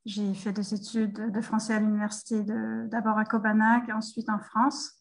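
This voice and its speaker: A woman's voice: medium pitch, level tone, moderate pace, creaky. A middle-aged woman from Denmark, speaking French as a guest in a casual remote interview, hesitant and full of fillers and restarts. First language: Danish.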